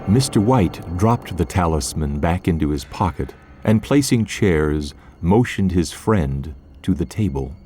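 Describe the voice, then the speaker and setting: A man's voice: deep voice, lively intonation, measured pace, hardly any pauses, a little mellow, slightly rough, soft background music. A man narrating a literary story as part of a scripted audio drama.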